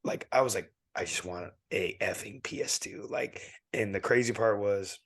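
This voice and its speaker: A man in his thirties from California, co-hosting a podcast and telling rambling, animated stories while losing his voice and clearing his throat. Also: lowish voice, moderate pace, no room sound. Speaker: podcast co-host. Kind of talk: casual podcast chat.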